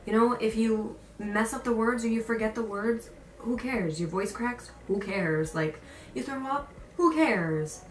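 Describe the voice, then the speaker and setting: A woman's voice: fairly high pitch, unhurried. Young woman vlogging in an echoey room. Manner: informal, emphatic, repeats phrases for encouragement. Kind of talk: casual chat to camera, storytelling and advice. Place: indoor room. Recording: roomy echo, distant mic, faint noise.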